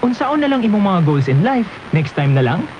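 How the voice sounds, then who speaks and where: A woman's voice: medium pitch, very animated, brisk, highs cut off. A woman with a Cebuano Filipino accent reading an upbeat scripted radio commercial. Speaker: commercial voice-over. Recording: AM radio with loud background music. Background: loud music.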